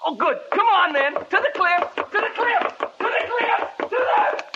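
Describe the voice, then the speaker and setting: Shrill, crazed, lonely lurer. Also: male voice, lively intonation, a little slow. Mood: eerie, eager. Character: crazed, lonely voice luring victims to a cliff. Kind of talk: old-time radio drama acting. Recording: lo-fi vintage radio recording, faint hiss.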